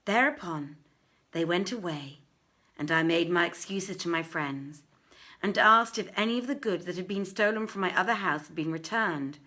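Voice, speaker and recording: A woman reading an old literary tale aloud as a storyteller, with expressive, measured phrasing. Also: on the low side. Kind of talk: dramatic live literary reading.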